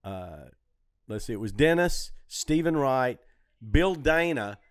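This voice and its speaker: An older man with a mid-pitched, resonant voice chats casually as a podcast host. He rambles through his stories, doubling back and repeating himself. The sound is dry, with hardly any room to it. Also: very animated, slow, smooth, no echo. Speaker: comedy podcast host and club owner. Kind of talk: casual backstage podcast conversation.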